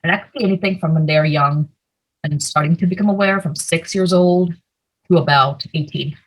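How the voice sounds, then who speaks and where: A woman's voice: low-pitched, moderate pace, low-quality recording. A young woman from India giving a conversational slide presentation over a video call, with plenty of fillers. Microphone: computer mic, video call.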